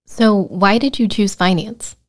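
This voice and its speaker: A woman's voice: medium pitch, steady tone, measured pace. A woman hosting a career podcast, reading an upbeat scripted intro and then asking casual interview questions. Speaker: podcast host.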